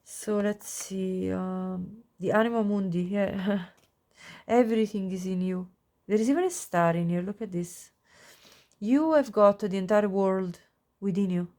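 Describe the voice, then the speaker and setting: A woman with a cold and a nasal voice giving a pick-a-card tarot reading, gushing softly over the cards. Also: medium pitch, a little slow. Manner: soft, gushing, warm-hearted with frequent little laughs. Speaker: tarot reader.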